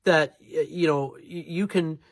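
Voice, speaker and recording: A man's voice: fairly high pitch, steady tone, a little slow, no echo. A congested, nasal-sounding man giving informal advice to viewers as a voiceover artist, rambling and often correcting or repeating himself for emphasis. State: congested from allergies and sinus trouble.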